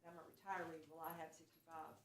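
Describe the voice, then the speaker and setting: A woman's voice: sits low, little pitch movement, fast, noisy background. A woman at a city budget workshop working through benefit rules step by step with worked examples. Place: council chamber. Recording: roomy chamber sound.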